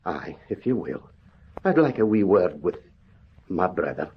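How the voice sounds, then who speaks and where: An actor playing a kindly, earnest Scottish country doctor in an old radio drama, with homely, exclamatory turns of phrase. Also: male voice, low voice, very animated, a little slow, slight background noise, full character voice. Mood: shaken, tender. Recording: muffled old radio recording.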